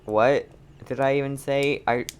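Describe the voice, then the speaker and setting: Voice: medium pitch, steady tone, measured pace, a little hiss or hum. A streamer giving casual, rambling, playful commentary during a game playthrough.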